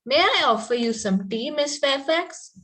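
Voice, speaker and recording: A spirited young country girl in a Victorian comedy, acted by a woman at a table read. The voice is on the high side. She is sweetly polite with a pointed edge, and she stumbles a little as she reads. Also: animated, measured pace, a bit bright. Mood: barbed sweetness. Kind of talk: amateur reading of a Victorian comedy play.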